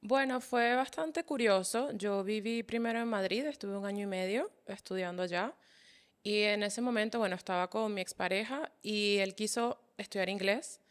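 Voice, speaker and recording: Smooth-voiced Venezuelan woman guest. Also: medium pitch, moderate pace. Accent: Caracas Venezuelan. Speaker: interview guest. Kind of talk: casual interview chat.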